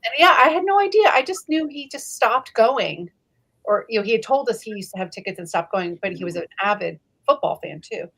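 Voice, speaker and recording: A woman's voice: a little high, expressive, brisk, fairly bright tone, rough recording. A woman hosting a casual book club chat, effusive and fond of telling anecdotes. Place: live online video chat.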